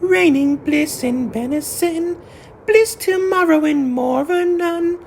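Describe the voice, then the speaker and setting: A man voicing young field mice chanting a carol in a slow, shrill, small falsetto. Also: vocal fry, heavily acted. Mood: joyful, earnest.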